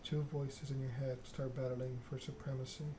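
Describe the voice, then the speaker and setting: A man reads narrative game text aloud for ASMR in a measured, soothing way. His mid-pitched voice stays very even, with noticeable noise and clicking behind it.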